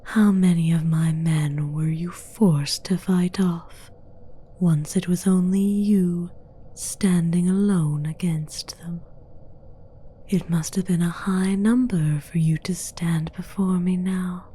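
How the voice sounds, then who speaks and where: A woman's voice: fairly low pitch, fairly even intonation, warm tone. A woman playing a menacing, mocking demoness queen in a whispered, condescending ASMR roleplay. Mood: condescending, taunting. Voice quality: breathy whisper. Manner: slow, deliberate, condescending.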